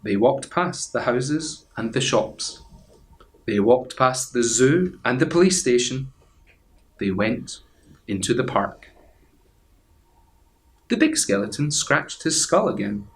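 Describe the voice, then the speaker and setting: Slow, theatrical man reading a children's story. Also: medium pitch, expressive. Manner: theatrical, suspenseful repetition with drawn-out phrases. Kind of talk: expressive children's picture-book read-aloud. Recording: clear background noise.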